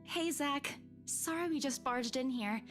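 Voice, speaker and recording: A woman's voice: sits high, unhurried, fairly bright tone, soft background music. An actress playing an anxious, reproving young woman in a horror visual novel. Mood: uneasy.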